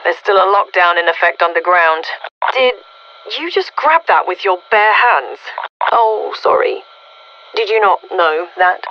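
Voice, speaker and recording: Low-voiced curious woman scientist over radio. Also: expressive, a little slow, lo-fi sound. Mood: wry concern. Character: curious, wry female research scientist guiding soldiers by radio. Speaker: video game mission commander. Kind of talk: scripted video game voice acting.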